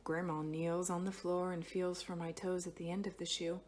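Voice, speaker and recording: A woman reading a children's picture book aloud expressively, with dramatic phrasing that stretches some words and hushes others. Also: fairly low pitch, flat delivery, moderate pace, soft background music. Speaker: storybook reader.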